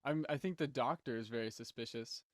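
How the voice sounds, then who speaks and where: Casting: woman with a very deep voice, informal group talker, close dry recording. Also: brisk, no echo. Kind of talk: casual group chat.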